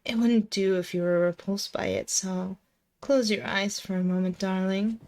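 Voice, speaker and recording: A woman's voice: mid-range voice, moderate pace, a little mellow, close to an everyday voice. A woman playing a possessive, dominant and seductive female demon in an intimate, close-miked ASMR roleplay, commanding, teasing and doting. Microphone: close binaural microphone.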